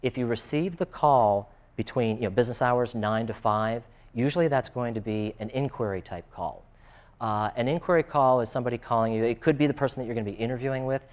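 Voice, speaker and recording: A man's voice: medium pitch, a little fast. An American man speaking as a job coach in an informal, conversational and explanatory way, often dropping in "you know". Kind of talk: informal instructional talk.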